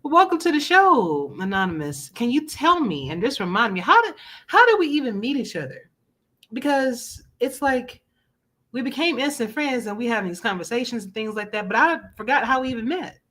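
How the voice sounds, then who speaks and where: A woman's voice: on the high side, sing-song, a little fast, fairly bright tone. An American-accented woman hosting a gaming talk show, chatting informally and affirmingly with a guest. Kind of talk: casual interview chat.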